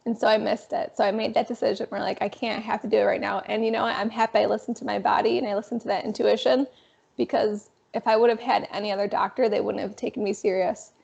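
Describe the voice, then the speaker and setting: A young woman in her twenties with a raspy voice, a guest telling her story in a casual, animated interview. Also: medium pitch, quick pace. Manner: animated, frequent fillers. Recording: dull lo-fi sound.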